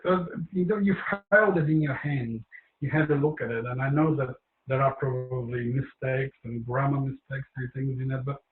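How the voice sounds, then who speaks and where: Man, hoarse, rambling, filler words, tinny echoey recording, faint background noise. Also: mid-range voice. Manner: rambling, many fillers and restarts. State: hoarse, losing his voice. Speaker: author giving a book talk. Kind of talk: informal talk with audience.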